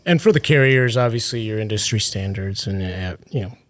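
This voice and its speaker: A man speaking as a podcast guest in a relaxed, anecdotal, chatty way. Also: lowish voice, brisk, dry recording. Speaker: carrier solutions director, guest. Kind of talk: casual business chat.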